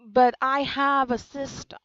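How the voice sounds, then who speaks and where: Woman in her twenties, earnest and frank, slow deliberate pace, dry muffled recording. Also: sits high, flat delivery, a bit bright, dry recording. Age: 20s. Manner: earnest, frank, repeats lines for emphasis. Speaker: channel host. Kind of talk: personal testimony to camera.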